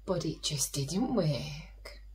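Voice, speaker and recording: A woman reading aloud expressively to young children as a storyteller, gently putting questions to her listeners. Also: low-pitched, animated, slow, slight background noise.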